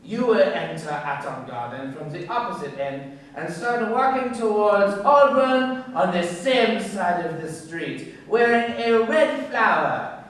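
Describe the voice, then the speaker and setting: An actor playing a wary, menacing foreign informer in a radio crime play, speaking formally and deliberately. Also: male voice, sits high, animated, a bit bright. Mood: wary, commanding. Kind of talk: radio crime drama acting. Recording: very echoey, distant-sounding room recording.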